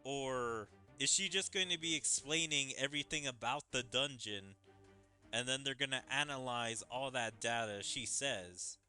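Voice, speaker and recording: A man's voice: mid-range voice, measured pace, bright, forceful tone, smooth, soft background music. A man giving casual game commentary as a streamer, speculating and musing aloud.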